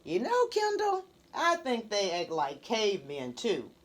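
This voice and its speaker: A woman's voice: medium pitch, wildly swooping pitch, a little slow, pitched below the performer's own voice. A woman voicing an eager, boastful young boy in a children's storybook, exclaiming like a know-it-all. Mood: cocky, teasing.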